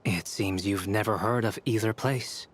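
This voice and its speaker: A man's voice: on the low side, fairly even intonation, normal speed, background noise, close to an everyday voice. A man playing a serious, guarded scholarly traveler in a game, talking in a terse, matter-of-fact way. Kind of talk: dramatic game voice acting. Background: music.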